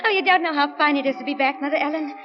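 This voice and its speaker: Actress as high-voiced, chatty young woman. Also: racing, lightly acted. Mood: bright, chatty. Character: chatty, cheerful young London blonde daughter. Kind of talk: 1940s radio drama acting. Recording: muffled old radio recording, faint music.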